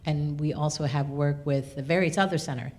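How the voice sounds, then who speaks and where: A woman speaking unscripted at a panel event as an arts organisation leader, in a measured, halting way. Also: low voice, a little mellow, slight background noise.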